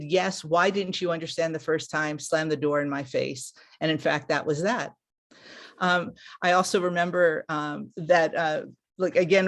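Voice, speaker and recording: A middle-aged speaker giving a candid, unscripted webinar talk as a panelist who evaluates international course credit, with frequent restarts and fillers, over a video call. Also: mid-range voice.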